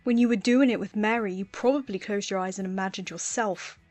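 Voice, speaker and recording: A woman's voice: medium pitch, brisk, darkish tone, no echo, lightly acted. Woman as a wry teenage schoolboy over music. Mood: teasing. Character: wry, self-conscious, teasing British teenage schoolboy. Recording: music playing underneath.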